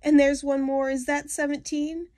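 A woman's voice: high voice, little pitch movement, moderate pace, dark tone, no room sound, studio quality, pitched above the performer's own voice, natural voice. A woman voicing a whiny little girl who complains melodramatically about her ailments in a storybook poem. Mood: whiny, suffering.

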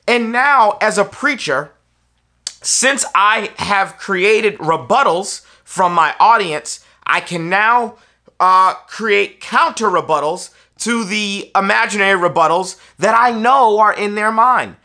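Man, thirties, American accent, forceful bright tone, persuasive preacher, instructional delivery. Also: sits high, slow. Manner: emphatic, persuasive, repeats key phrases. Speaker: preacher giving a tutorial. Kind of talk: instructional talk to camera. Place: small furnished indoor room, seated on a sofa.

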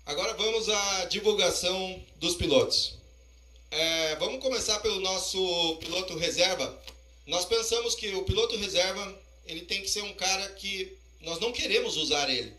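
A man's voice: high-pitched, bright, forceful tone. A middle-aged man from Brazil announcing team riders at a press conference, speaking through a handheld microphone in an indoor press room. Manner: measured, formal explaining. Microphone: handheld mic. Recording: roomy echo.

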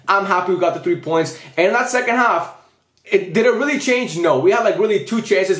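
A man in his twenties giving casual, emphatic fan commentary to camera in slangy, repetitive language, recorded indoors. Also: sits high. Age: 20s. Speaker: football fan vlogger. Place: small indoor room. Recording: roomy indoor echo.

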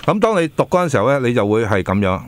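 A man's voice: mid-range voice, quick pace, dry recording. A man co-hosting a casual chat show in a small radio studio, speaking with a Hong Kong Cantonese accent. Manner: animated, acts out radio drama lines in a squealing voice. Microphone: boom mic on a stand.

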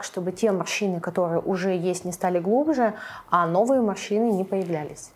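A young adult woman explaining products to camera in a measured way, as a beauty vlogger. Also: medium pitch, a little mellow. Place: small furnished room indoors.

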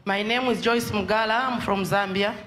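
A woman interpreting a testimony in short, measured phrases. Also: medium pitch, steady tone, fairly bright tone. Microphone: handheld microphone. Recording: handheld mic, faint hall noise.

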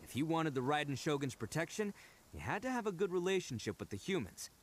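An actor voicing a brash, proud, earnest young oni gang boss in an anime game, telling things colloquially with dramatic emphasis. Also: male voice, a little high, very animated, fast talker, a little hiss or hum. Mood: storytelling, earnest. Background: game music.

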